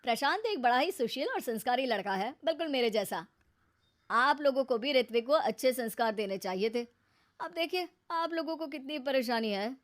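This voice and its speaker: Cartoon son-in-law, played by a woman: high voice, self-important, mocking, boastful. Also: expressive, moderate pace. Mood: boastful, taunting. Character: boastful, taunting son-in-law, an adult man. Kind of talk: Hindi cartoon moral-story dialogue.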